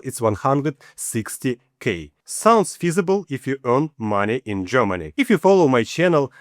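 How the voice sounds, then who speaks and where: A man in his forties with a Ukrainian accent, hosting a personal finance vlog and walking calmly through figures step by step. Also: mid-range voice, no room sound, clean studio sound. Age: 40s. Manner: matter-of-fact, walks through figures step by step. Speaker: channel host.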